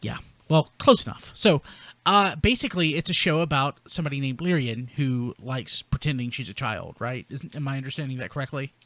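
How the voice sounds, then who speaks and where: A man's voice: medium pitch, expressive, fairly quick, a bit bright, dry recording. A man hosting a casual chat podcast, rambling and correcting himself as he goes. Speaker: podcast host. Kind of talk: casual chat about toy collecting news.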